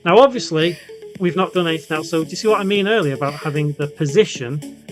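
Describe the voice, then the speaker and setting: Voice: mid-range voice, fast, faint music behind. A tutorial host talking casually and conversationally, thinking aloud through an unscripted coding walkthrough.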